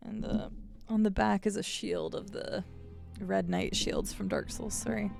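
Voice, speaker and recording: A woman livestreaming a video game, chatting casually and swearing playfully as she reacts out loud. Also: medium pitch, very animated, slow pace, creaky. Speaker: game streamer. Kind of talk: casual livestream gaming chat and commentary. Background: video game music.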